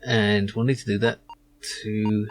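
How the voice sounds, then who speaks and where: A man's voice: on the low side, level tone, a little slow, a little hiss or hum. A man giving casual, musing commentary as he plays a game. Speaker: let's play host. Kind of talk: casual game commentary, reading lines aloud.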